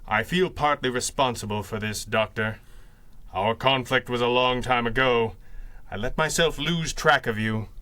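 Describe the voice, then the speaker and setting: An actor playing a calm, principled masked hero in a 1930s-style radio drama, with measured and formal diction. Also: male voice, mid-range voice, natural voice. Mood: earnest, reassuring. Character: calm, principled masked crime-fighting hero, adult man.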